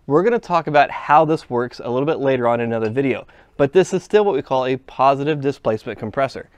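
A man's voice: mid-range voice, animated, fast, smooth, dry recording. A man teaching a hands-on trade lesson, explaining step by step as he works with parts at a workshop bench. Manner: plain, step-by-step explaining while handling parts. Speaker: HVAC instructor. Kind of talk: hands-on technical demonstration.

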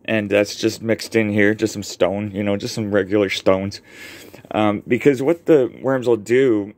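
A man's voice: on the low side, fairly even intonation, unhurried, darkish tone. A man casually explaining his home worm bins while filming his hands, rambling with lots of ums and self-corrections. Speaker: home worm composting vlogger. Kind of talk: casual show-and-tell demonstration. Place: basement, indoors. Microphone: handheld camera mic, filming his own hands.

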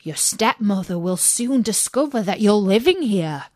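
A woman's voice: mid-range voice, animated, measured pace, darkish tone, no echo, clean studio sound. A woman voicing fussy, kindly little male dwarfs in an exclaiming manner for a children's storybook. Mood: kindly, earnest. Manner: exclaiming, questioning.

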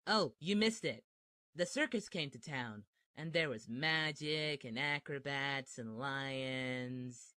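A sardonic teenage ninja voiced by a man for a parody cartoon. The voice is crisp-edged and bright, recorded very dry, with deadpan sarcasm. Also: medium pitch, slow, dry recording. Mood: mock earnest. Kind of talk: comedic parody dub.

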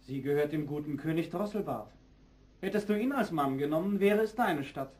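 Man playing a stern, higher-voiced fairy-tale minstrel. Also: lively intonation, normal speed, darkish tone, far from the mic, lightly acted. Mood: matter-of-fact. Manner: stern, scolding. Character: stern minstrel husband, secretly a king. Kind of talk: fairy-tale audio play acting.

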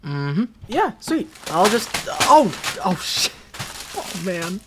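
A man voicing a shy, smitten young college student in a roleplay audio, halting and flustered as he talks. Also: high-pitched, very animated, noisy background, full character voice. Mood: friendly. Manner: halting, flustered stammering with nervous laughs.

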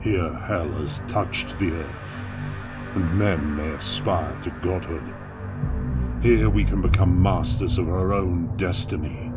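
An actor playing a grim, ambitious warrior who craves godhood and vengeance, solemn and portentous, in an audio drama. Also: male voice, low voice, loud music behind the voice. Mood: grave, brooding. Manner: slow, solemn, portentous delivery. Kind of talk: dramatic audio fiction acting.

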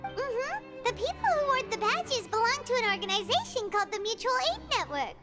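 A woman voicing a tiny, eager, childlike fairy girl companion in a video game, squeaky and chirpy. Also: very animated, a little fast. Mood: eager, curious. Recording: music behind.

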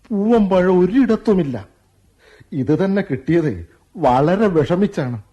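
A man's voice: high voice, lively intonation, a little slow, mellow. An actor playing a playful, stubborn young husband who teases and coaxes his wife. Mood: coaxing. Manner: teasing, cajoling, mock-threatening. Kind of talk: radio play acting.

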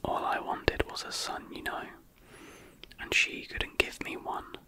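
A woman's voice: unhurried, lightly acted. A woman voicing a cold, smug man who killed his wife, whispering casually as he justifies himself. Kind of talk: whispered horror storytelling.

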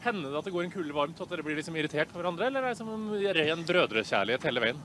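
A male reporter with a notably high voice puts casual questions in a live interview. He holds a handheld microphone on a moving bus, with some vehicle noise around him. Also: expressive, fairly bright tone. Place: inside a moving city bus with passengers.

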